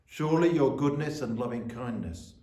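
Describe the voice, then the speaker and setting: Male church officiant, mid-pitched, deliberate and measured sermon delivery, noticeably roomy sound. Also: dark tone. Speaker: church officiant giving a reflection. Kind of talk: sermon with scripture reading and prayer.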